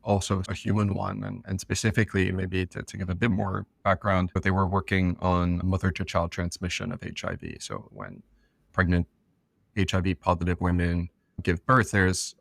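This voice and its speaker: A man's voice: low voice, normal speed, gravelly, fairly bright tone, no echo. A middle-aged man being interviewed as an expert guest, speaking thoughtfully and often restarting his sentences. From France and elsewhere. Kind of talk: conversational podcast interview.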